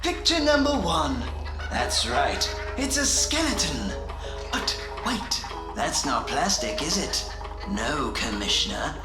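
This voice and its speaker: Sadistic clown villain, wildly swooping pitch, slow showman delivery, mocking, creaky, radio drama, loud music behind. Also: sing-song. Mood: gleeful cruelty.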